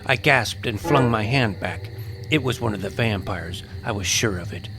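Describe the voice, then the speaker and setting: A man's voice: fairly low pitch, animated, normal speed, noisy background. A man giving a dramatic, scripted first-person narration of a suspense adventure story.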